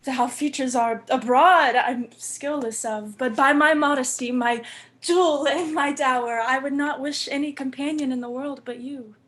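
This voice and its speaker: A woman acts a devoted young maiden in a Shakespearean romance. The voice is high, with a tender, earnest delivery. The maiden is innocent and modest, yet forthright in love. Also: fairly even intonation, moderate pace, fairly bright tone. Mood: shy, confiding. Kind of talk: Shakespearean stage acting.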